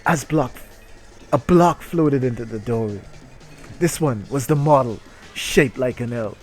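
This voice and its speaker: A man's voice: medium pitch, unhurried. A man reads a story aloud in a mock-dramatic style, taking his time. He is crude and irreverent, comic in his delivery, with prominent music playing behind him. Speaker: reader and comedic streamer.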